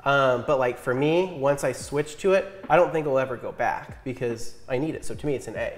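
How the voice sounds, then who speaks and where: A man's voice: medium pitch, fairly quick. A man in his thirties hosting a casual tier-list chat in a home garage, easygoing and self-deprecating. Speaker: host.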